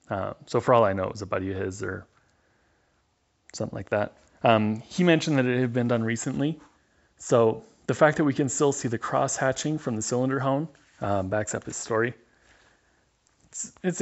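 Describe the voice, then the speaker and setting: A man's voice: mid-range voice, creaky, highs cut off. A man giving a casual, matter-of-fact instructional voice-over as a hands-on mechanic.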